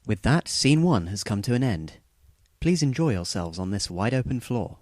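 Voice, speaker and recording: A man's voice: on the low side, sing-song, moderate pace, darkish tone, dry recording, studio quality. A man playing a polite, composed teenage schoolboy in an audio drama, speaking formally and courteously.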